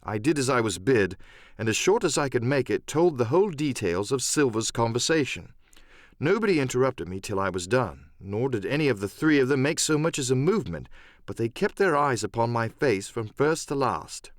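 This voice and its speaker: A man's voice: mid-range voice, very animated, normal speed, dry recording, clean studio sound. A man narrating an adventure story as an audiobook, in a dramatic, scripted storytelling style.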